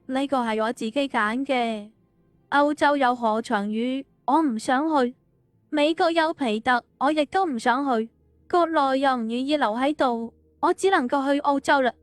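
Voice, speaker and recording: Audiobook role: actress as young woman, casual and understated, rather high voice, close dry recording. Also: moderate pace, dry recording, faint music behind, lightly acted. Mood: resigned. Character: young woman, wistful, casual, teasing friend. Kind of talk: audiobook drama dialogue.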